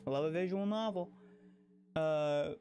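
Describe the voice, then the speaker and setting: A livestreamer talks over background music at a middling pitch. The delivery is casual and opinionated, circling back on phrases and restarting thoughts in a loose, rambling way. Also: unhurried, dark tone.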